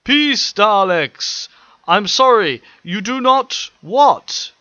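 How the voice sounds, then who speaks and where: A man's voice: sits high, very animated, drawn-out words, bright, forceful tone, dry recording, dull audio, pitched above the performer's own voice, full character voice. A man voicing a small alien town mayor who speaks formally and politely. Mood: alarmed.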